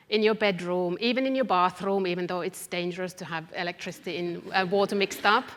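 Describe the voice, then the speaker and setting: A woman from Finland with a Finnish accent gives a deliberate public talk as a researcher, addressing her listeners directly and stressing key phrases. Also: mid-range voice, fairly bright tone. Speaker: academic researcher, public speaker. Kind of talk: public talk to a live audience. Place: event hall, live talk. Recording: echoey hall with long reverb.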